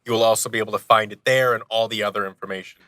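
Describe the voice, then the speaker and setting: A man's voice: fairly low pitch, fairly even intonation, very fast, sharp tone, no echo. A man talking casually as a podcast guest, joking with a straight face. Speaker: guest, audio series creator. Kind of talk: casual podcast interview chat.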